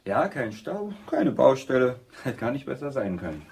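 An actor playing an easygoing, musing security cash courier in a German crime radio drama. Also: male voice, mid-range voice, very animated, moderate pace, gravelly, a little mellow. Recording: noisy ambience around the voice.

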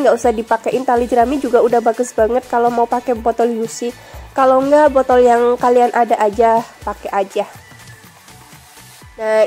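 Young woman, nasal from a cold, bright chatty vlog voice-over, light music under it. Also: on the high side. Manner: chatty, friendly, addresses viewers directly. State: stuffy nose from a cold. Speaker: vlogger. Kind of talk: casual voice-over narration.

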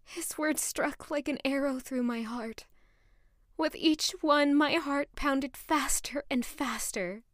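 A woman's voice: high-pitched, takes it slowly, a bit bright, dry recording, studio quality. A young woman playing an earnest, emotional young noblewoman in a romantic fantasy audio drama, hesitant and sincere. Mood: breathless narrating.

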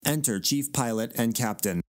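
A man narrates stage directions for an audio play. His medium-pitched voice is recorded dry, with no room around it. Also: moderate pace, dry recording.

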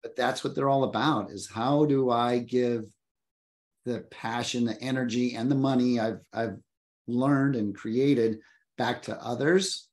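A man's voice: medium pitch, steady tone, unhurried, a little mellow. Man, fifties, earnest and reflective, repeats words, webcam sound, office. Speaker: luxury real estate agent, panelist. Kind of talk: panel discussion on a video call. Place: home office. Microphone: video call webcam.

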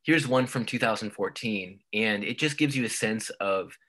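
A man's voice: fairly low pitch, normal speed, a bit bright. A man giving a university lecture over slides, explaining at length and often restarting with repeated words. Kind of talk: recorded online lecture.

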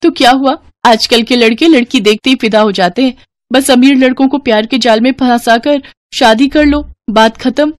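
A woman plays a scheming young village sister in a cartoon tale. She is brash, impatient and dismissive, pitched high. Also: moderate pace, no room sound, studio quality, natural voice. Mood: scheming, breezy.

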